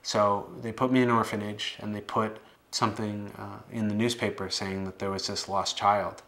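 Low, even-voiced young American man. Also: normal speed. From Boston area, United States. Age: late 20s. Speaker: documentary subject telling his life story. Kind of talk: personal testimony to camera. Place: living room, indoors.

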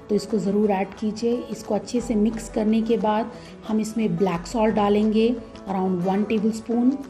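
A woman giving calm, step-by-step cooking instructions as a voice-over, with frequent "uh" fillers. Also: medium pitch. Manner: calm, instructive, many "uh" fillers, mixes English words into Hindi. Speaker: cooking channel host. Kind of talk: recipe voice-over while cooking.

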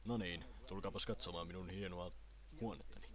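A man voicing a boastful, menacing muscular hunter in an amateur anime fan dub, rambling in a deadpan way. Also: sits low, crowd noise, natural voice.

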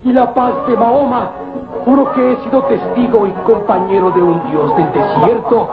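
A high-pitched, fervent desert servant. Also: male voice, normal speed, narrow, tinny audio, loud music behind the voice. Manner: pleading, frantic proclaiming. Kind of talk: old radio serial acting. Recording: thin old radio recording over loud crowd.

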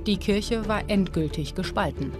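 A woman reads documentary narration in a measured, even way. Clear background music plays under her voice throughout. Her pitch sits in a middle range. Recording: narration over loud music.

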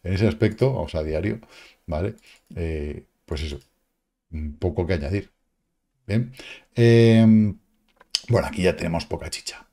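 A man, casually commenting on crypto charts in a lively, slangy way, with exclamations and sound effects, recorded at a home desk. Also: on the low side. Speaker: crypto market analyst. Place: home studio, indoors. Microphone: webcam framing, seated at desk.

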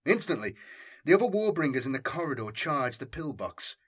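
A man's voice: medium pitch, big pitch swings, fairly quick, husky. A man reading military science fiction as an audiobook narrator, in a scripted, dramatic storytelling style.

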